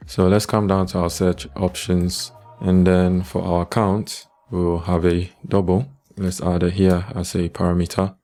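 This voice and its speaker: A man giving a step-by-step coding tutorial as an instructor, calm, matter-of-fact and methodical. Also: low voice.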